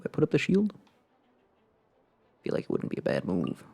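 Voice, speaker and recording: A man's voice: on the high side, wildly swooping pitch, normal speed, creaky, darkish tone, slightly rough. A man doing casual live gameplay commentary, thinking aloud in a self-deprecating way as he mutters and exclaims. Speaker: video game livestreamer. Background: game music.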